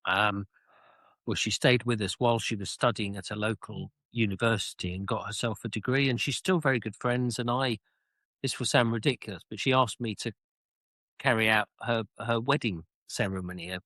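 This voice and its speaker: A middle-aged British man telling his own story as an interview guest, reflective and halting, often repeating words as he searches for phrasing. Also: fairly low pitch, a bit bright, no echo. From United Kingdom. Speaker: interview guest, author and former army officer.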